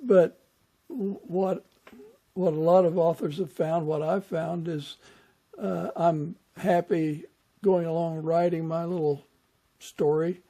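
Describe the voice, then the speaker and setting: A man hosting an interview podcast, talking in a measured, conversational way with pauses mid-sentence. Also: fairly high pitch, fairly even intonation, mellow. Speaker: podcast host.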